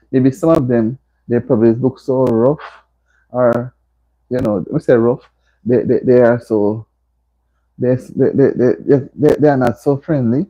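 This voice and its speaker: A woman with a husky, deep, mellow voice chats on a livestream from home. She reads viewer comments aloud, laughing and teasing as she goes. The audio is dull and lo-fi. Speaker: livestream host. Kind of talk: casual livestream chat. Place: indoors at home.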